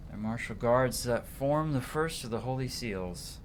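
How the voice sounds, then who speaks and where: A man's voice: mid-range voice, animated, unhurried, a little hiss or hum. A man doing livestream game commentary, switching between casual asides and theatrical reading of on-screen story text. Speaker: livestream gamer.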